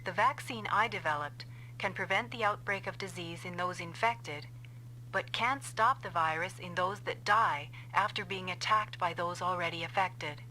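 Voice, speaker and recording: An actress playing a vengeful female scientist in an anime-style game dub, explaining coldly and deliberately. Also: lowish voice, background noise, natural voice. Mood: grim, confessing. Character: vengeful female scientist confessing a revenge plot.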